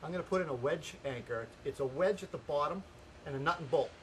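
A man's voice: a little high, fast talker, a bit bright. A man in his forties giving an informal, plain-spoken how-to as a carpenter, explaining the job step by step. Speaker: carpenter hosting a home improvement series. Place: outdoors in a house yard. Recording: noisy outdoor camera audio with ambient sound.

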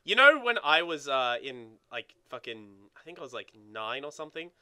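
A young man with a fairly high, very bright and clear voice chats casually as a gaming co-host. He rambles, leans on "like" and swears freely. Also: sing-song, normal speed. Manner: rambling, filler-heavy with frequent "like". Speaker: let's play co-host.

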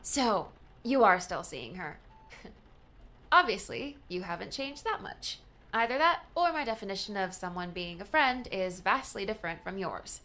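Candid young daughter played by an actress, wry and conversational, medium-pitched, for audiobook drama. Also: female voice, very animated, moderate pace, pushed and bright, slight background noise. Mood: wry, accusing.

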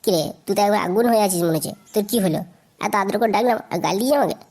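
A woman's voice: mid-range voice, rapid-fire, a little mellow, breathy edge. A woman voicing a crude, blustering village husband in a comedy cartoon. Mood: wheedling. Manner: blustering, crude, wheedling turning abusive. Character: hot-tempered, crude, boozing Bengali village husband.

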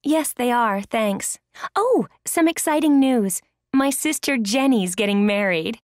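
A cheerful, chatty woman speaks scripted language-course dialogue. Her voice is fairly high and the recording is dry. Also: animated, a little slow, a little mellow, no room sound. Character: cheerful, chatty woman sharing news with friends.

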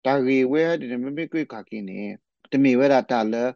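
A man's voice: mid-range voice, fast talker, creaky, warm tone, dry recording. A man speaking off the cuff in spontaneous commentary on a radio programme. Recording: slightly muffled shortwave radio programme audio.

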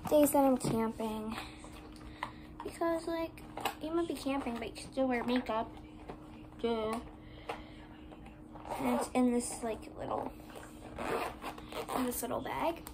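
A woman's voice: on the high side, measured pace, long pauses. A young girl vlogging from her bedroom, chatting in a rambling way full of "like" fillers while filming on a phone camera. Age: 11, child. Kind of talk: casual vlog chat. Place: bedroom, indoors. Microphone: phone camera on desk. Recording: noisy room ambience.

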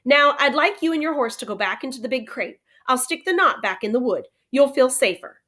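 A woman reads as a young schoolboy in a storybook, kind but firm. His speech is earnest and emphatic, rising high and moving freely in pitch. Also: lively intonation, fairly quick, fairly bright tone. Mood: reassuring.

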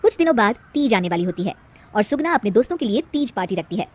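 Female storyteller for children's tales, brisk pace, high and lively pitch. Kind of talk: scripted narration of a children's story.

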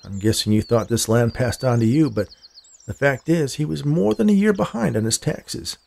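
A man voicing a polite, persistent male land buyer in a story, with a calm, folksy, disarming manner. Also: medium pitch, moderate pace, vocal fry, pitched above the performer's own voice. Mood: sympathetic, firm.

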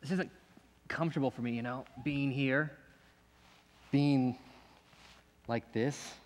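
An actor playing a bitter, distrustful man who keeps himself restrained, talking in halting bursts that repeat and break off, with pointed questions at the listener. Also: medium pitch, fairly even intonation, close to an everyday voice. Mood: defensive, confrontational. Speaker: actor in a monologue. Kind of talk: dramatic stage monologue. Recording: long echoey hall reverb.

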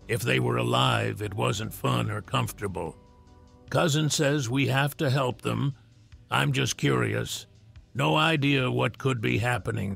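Man, gravelly voice, husky, steady story reading, soft music behind. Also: mid-range voice. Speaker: narrator. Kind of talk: scripted narration of a reader story.

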